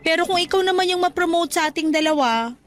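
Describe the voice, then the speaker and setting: A Filipino woman playing a cheerful, self-deprecating young office worker in a chatty, expressive radio drama style. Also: extremely high pitch, fast talker. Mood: friendly, hopeful.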